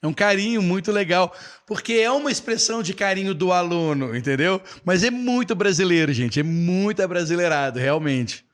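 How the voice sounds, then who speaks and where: A man with a Brazilian Portuguese accent runs a live English lesson, explaining with playful imitations. His voice sits a little high and he talks in an animated, friendly-teacher way while answering viewers' comments. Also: a little fast.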